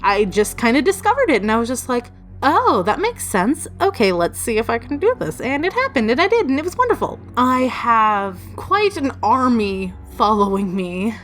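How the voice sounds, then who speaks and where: A woman's voice: a little high, sing-song, moderate pace, a bit bright. A woman telling personal stories casually in a chatty, rambling, playfully emphatic way. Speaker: storytelling video creator. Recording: music behind the voice. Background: background music.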